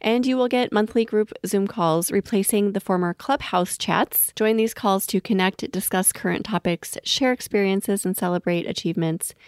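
A woman's voice: mid-range voice, moderate pace, few pauses, clear, resonant tone, no echo, clean studio sound. A woman working as a podcast host, moving between scripted reads and casual interview talk. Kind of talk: scripted promo and intro reading, casual interviewing.

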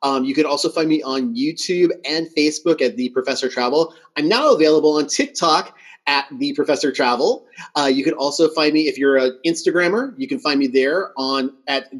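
A man's voice: on the high side, moderate pace. A man hosting a podcast in a conversational style, with a welcoming and appreciative manner. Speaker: podcast host.

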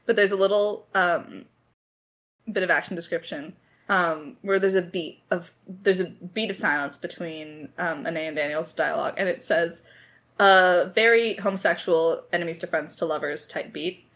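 A woman's voice: on the low side, normal speed, fairly bright tone. A woman talking casually in a creator Q&A, thinking aloud as a writer in a self-deprecating, hesitant way. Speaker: lead writer of an audio drama podcast.

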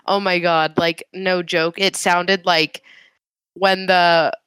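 A woman's voice: lowish voice, flat delivery, slow pace, a bit bright, clean tone, no echo. A congested woman co-hosting a podcast from home over a gaming headset, chatting in a playful, sarcastic way. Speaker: podcast co-host. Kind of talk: casual chat. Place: remote recording from home.

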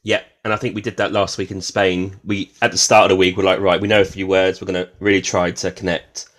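A man with a fairly low voice hosts a podcast interview. He sounds relaxed, laughs easily and thinks aloud while framing his questions. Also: fast. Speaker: podcast host. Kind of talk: casual interview.

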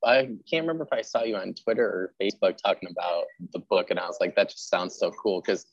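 Low-voiced chatty man, roomy muffled sound. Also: fast. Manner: chatty, animated storytelling with quoted asides. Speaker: podcast host; teacher. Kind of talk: casual chat interview.

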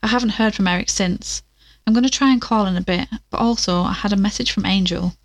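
A woman's voice: mid-range voice, fast, a little hiss or hum, natural voice. A woman playing an anxious, weary investigator who speaks casually and hesitantly into an audio diary in an audio drama. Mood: weary, uneasy.

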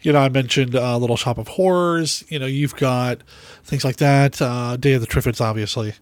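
Relaxed male podcast host, dry sound. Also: mid-range voice, normal speed, dry recording. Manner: relaxed, enthusiastic, trailing off. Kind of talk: casual podcast chat.

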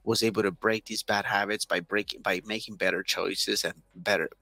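A Spanish-native man from Southern California speaks earnestly as an interview guest, his voice low-pitched yet bright and forceful. He talks in short, repeated motivational phrases. The recording is close and dry. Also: level tone, normal speed, vocal fry, no echo. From Huntington Park, California. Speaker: guest entrepreneur. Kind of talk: candid interview storytelling.

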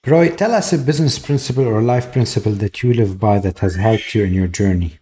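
A man's voice: on the low side, brisk, dark tone, a little hiss or hum. A man hosting a startup podcast who gives short acknowledgments and then asks long interview questions. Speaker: podcast host.

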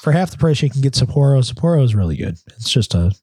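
Congested man with a dark husky voice. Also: mid-range voice, big pitch swings, fast, no room sound, clean studio sound. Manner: rambling, filler-heavy. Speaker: podcast co-host. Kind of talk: casual chat.

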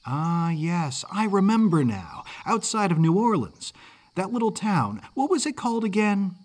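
Chatty circus orphan boy, played by a man: higher pitch, lively swings, eager folksy manner, mid-Atlantic American accent. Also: unhurried, heavily acted. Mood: eager, curious.

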